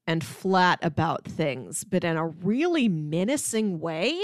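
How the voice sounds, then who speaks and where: A woman co-hosting a podcast, chatting casually about film in an animated way and playfully acting out lines. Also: on the low side, takes it slowly, dry recording, studio quality. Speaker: podcast co-host.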